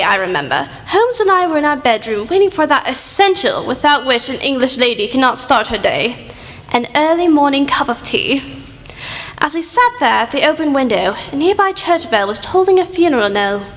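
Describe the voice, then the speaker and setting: Radio play: woman as genteel English lady storyteller, sentimental, very animated, hall reverb with music behind. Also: high-pitched.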